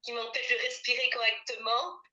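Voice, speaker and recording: Une femme enrhumée parle en appel vidéo d'une voix haute et éclatante, au ton très clair. Elle répète ses phrases en hésitant, avec un débit bavard. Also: female voice, a little high, level tone, normal speed, pushed and bright, muffled recording. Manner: chatty, repeats herself, laughs often. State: congested, with a head cold. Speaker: participant in a group EFT session. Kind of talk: casual chat and repeating EFT phrases. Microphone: video call.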